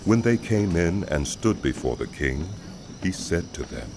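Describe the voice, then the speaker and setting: A man giving solemn, measured, scripted dramatic narration of scripture as a storyteller. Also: low-pitched, very animated, background noise.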